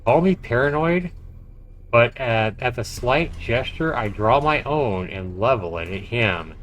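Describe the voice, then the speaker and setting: A man's voice: mid-range voice, animated, a little slow, fairly bright tone. A man livestreaming a game, reading its narration aloud dramatically with casual, profane asides. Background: faint game music.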